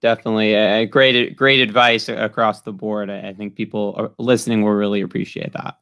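A man's voice: lowish voice, flat delivery, brisk, vocal fry, fairly bright tone, smooth, no room sound, studio quality. A man in his twenties from the San Francisco Bay Area, politely hosting an interview over a video call. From San Francisco Bay Area, USA. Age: 20s. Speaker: student podcast host. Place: small room, indoors. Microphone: video call, close and dry.

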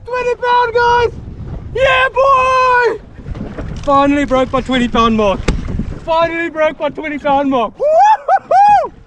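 A man's voice: very high-pitched, wildly swooping pitch, slow. A young man fishing from a jet ski, commentating live with whoops and exclamations. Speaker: fishing vlogger. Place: open water on a jet ski, outdoors. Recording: windy outdoor camera audio.

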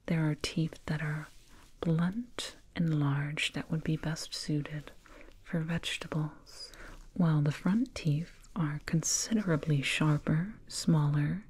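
A woman whispering slowly and soothingly in an ASMR roleplay as a condescending alien dentist, close to a binaural microphone. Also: low-pitched, a little mellow. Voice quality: breathy whisper. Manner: slow, soothing, patronizing, deliberate.

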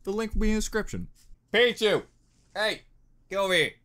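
Excitable, very animated young man reacting. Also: sits high, a little fast. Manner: excitable, exclaiming. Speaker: reaction video host. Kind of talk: casual reaction commentary. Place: cluttered bedroom indoors. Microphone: desk condenser microphone with headphones.

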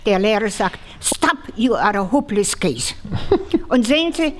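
A woman in her nineties from Moldova, speaking with a Moldovan accent and telling a story in an animated, self-mocking way in a large church hall. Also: a little high, measured pace, a little hiss or hum. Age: 90s. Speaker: interviewee. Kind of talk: casual storytelling.

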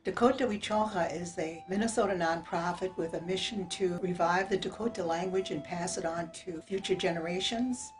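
A woman with a somewhat low voice narrates clearly and at an even, measured pace, with soft music underneath. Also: low-quality recording. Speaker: organization spokesperson. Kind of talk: explanatory narration.

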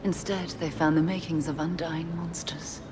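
A woman playing a poised, menacing League schemer in a video game cutscene, speaking formally and deliberately with cool condescension. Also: sits low, fairly even intonation, over music, natural voice. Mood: ominous, solemn.

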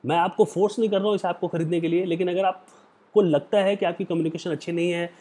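A young man with an Indian accent giving an earnest motivational talk to the camera on a lapel mic in a small room, lecturing and slowing down for English phrases. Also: high voice, fairly even intonation, dark tone. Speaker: hospitality career vlogger. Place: small indoor room, plain wall.

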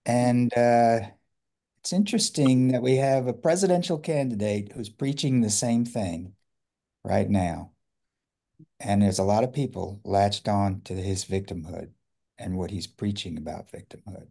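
A man's voice: lowish voice, unhurried, creaky, mellow. An older man, making reflective casual comments on a video call. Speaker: discussion participant. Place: living room, video call. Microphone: computer or tablet mic on video call.

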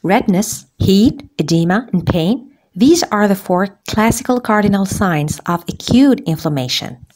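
A woman from Algeria, a gynecologist, giving a scripted medical lecture as a voice-over on slides, measured and precise. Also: mid-range voice, lively intonation, a little mellow. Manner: measured, deliberate, precise enumeration.

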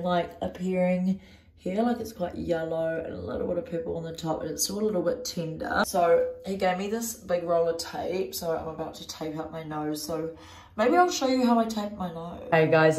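A woman's voice: lowish voice, a little mellow. Blocked-up New Zealand woman in a bathroom. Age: young adult. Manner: chatty, lots of "like" and "um". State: blocked-up nasal voice. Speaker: vlogger. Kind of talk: casual vlog to camera. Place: small bathroom indoors. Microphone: phone camera at arm's length. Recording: echoey bathroom.

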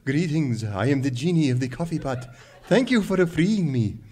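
An actor playing a huge, officious genie in a radio serial parody, declaiming like a pitchman. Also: lively intonation, normal speed, darkish tone. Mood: grand, officious. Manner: grandiose, declamatory. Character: booming, huge male genie, officious game-show pitchman.

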